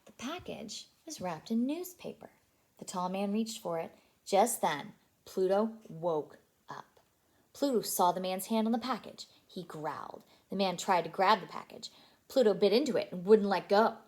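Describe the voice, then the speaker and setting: A woman reading a story aloud to young children as a childcare storyteller, expressive and animated. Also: medium pitch, normal speed. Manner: animated, asks listeners questions.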